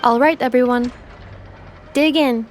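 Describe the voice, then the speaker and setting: A woman playing a composed, devoted robot companion in a video game, speaking in a formal, measured and precise way. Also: high voice, steady tone, a little hiss or hum. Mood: polite. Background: music.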